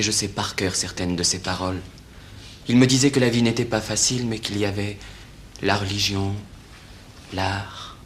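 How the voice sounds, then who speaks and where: A man's voice: on the low side, lightly acted. An actor playing an earnest, devoted young Roman poet in a mid-century French radio play, fervent and tender in his theatrical delivery. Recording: old radio recording, faint noise.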